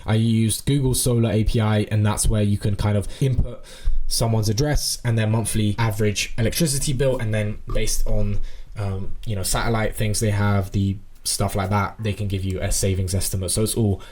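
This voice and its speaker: A man giving an informal, explanatory software walkthrough, thinking aloud as he presents. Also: on the low side, steady tone, moderate pace. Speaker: tutorial presenter.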